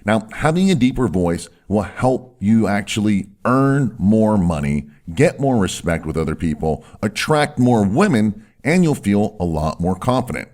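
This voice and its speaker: Man, low voice, lively pitch, doctor, instructional video host, emphatic, drops low on key words. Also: unhurried, few pauses. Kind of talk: instructional video talk to camera.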